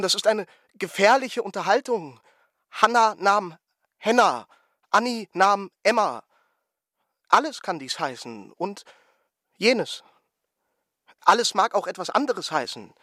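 High, sharp-voiced eccentric lecturer. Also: male voice, big pitch swings, slow, pauses often, no room sound, studio quality, heavily acted. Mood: pedantic, agitated. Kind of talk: absurdist radio play monologue.

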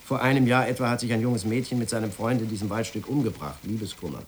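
A rapid, slightly low male voice from an actor as a jaded local newspaperman in a radio drama. He is brusque and dismissive, weary of explaining himself, over faint noise. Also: close to an everyday voice. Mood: exasperated, explaining. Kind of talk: German radio play acting.

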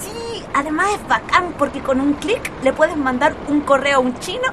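A woman's voice: high voice, expressive, moderate pace, noisy background. A female performer playing a friendly, chatty schoolgirl with a Chilean accent in a radio drama. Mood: lively. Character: Cata, friendly apologetic schoolgirl.

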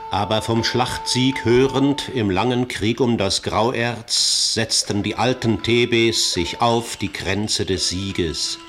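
Casting: grave chorus elder narrating tragedy, slow, low-pitched declaimed verse over music. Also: male voice. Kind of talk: classical tragedy radio play narration.

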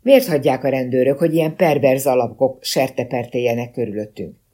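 A man's voice: medium pitch, dark tone, close to an everyday voice. A man voicing an outraged, accusatory mother in an audiobook. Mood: outraged, accusing. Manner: indignant, accusatory.

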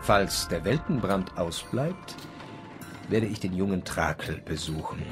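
Deep-voiced, feverish, paranoid writer. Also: male voice, animated, unhurried. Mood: brooding, weary. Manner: literary, brooding, theatrical. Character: feverish, paranoid German writer on a 1908 world voyage. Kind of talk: German radio drama, monologue and dialogue. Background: music.

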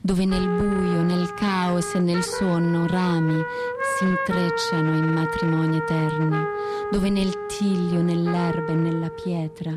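An actress plays a woman poet, tormented and proud, a grieving mother with a romantic soul. Her voice sits on the low side and moves through the lines with dramatic intensity and deliberate pauses. It is a radio theatre monologue, with music playing behind her. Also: little pitch movement. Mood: yearning, incantatory.

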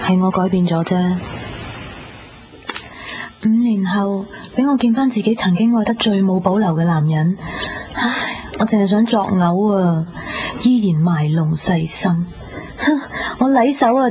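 A woman's voice: medium pitch, moderate pace, mellow, a little hiss or hum, lightly acted. An actress playing a wry, sentimental young woman narrating her love story in a radio drama, with a Hong Kong Cantonese accent. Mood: wry, guarded, then bitter, scornful. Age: young adult. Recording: muffled radio drama tape with music.

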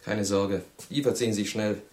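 Radio drama: male actor as a steady young husband, fairly low voice, plain and brief in speech. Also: normal speed, close to an everyday voice. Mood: reassuring. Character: sober, reassuring newlywed husband, a communist. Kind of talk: German radio play acting.

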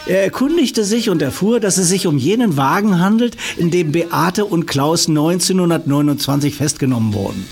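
A man's voice: on the high side, lively intonation, normal speed, vocal fry, few pauses, breathy edge, faint music behind, full character voice. An actor playing a matter-of-fact male thief giving his account in a radio play. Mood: matter-of-fact, confiding. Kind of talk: German radio play acting.

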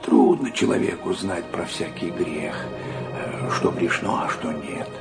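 Slow, deep-voiced frail old pilgrim. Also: male voice, very animated, gravelly, loud music behind the voice, heavily acted. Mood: serene, wondering. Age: older. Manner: folksy old-Russian speech, pious, gently chuckling. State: sick and weak. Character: frail, kindly, devout old peasant pilgrim. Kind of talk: literary audio drama acting.

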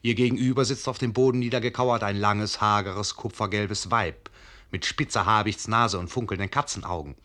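An actor playing the narrator of a radio drama, addressing the listener in measured, literary, suspenseful storytelling. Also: male voice, fairly low pitch, fairly even intonation, pushed and bright.

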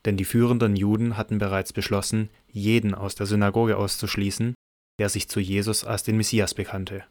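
A man reading scripted scripture narration for an audio drama in a measured, matter-of-fact way. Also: on the low side, little pitch movement, a little mellow, no room sound, studio quality.

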